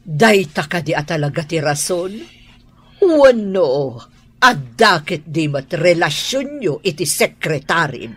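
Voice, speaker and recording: An actress with an Ilocano accent playing a haughty, sharp-tongued wealthy wife in a radio drama. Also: female voice, low voice, big pitch swings, slow pace, breathy edge, exaggerated voice. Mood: accusing.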